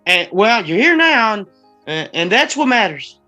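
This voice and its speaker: A woman's voice: medium pitch, big pitch swings, moderate pace, sharp tone, soft background music. A woman playing a friendly, slightly drunk forty-year-old man, a small-town mayor who stammers and rambles in a screenplay table read. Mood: folksy, tipsy.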